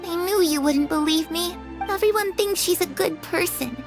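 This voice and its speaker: A woman's voice: extremely high pitch, moderate pace, over loud music. A woman playing a young, rebellious, wounded girl in a video game, speaking in an earnest, wistful way. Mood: bitter, resigned. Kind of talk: scripted video game acting.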